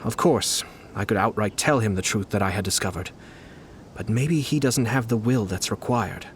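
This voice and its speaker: A composed young noble lord from a video game played by a man, with a fairly low voice. He speaks formally and deliberately, calm and calculating, with clear music playing behind him. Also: natural voice. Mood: solemn, resolute. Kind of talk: video game character dialogue.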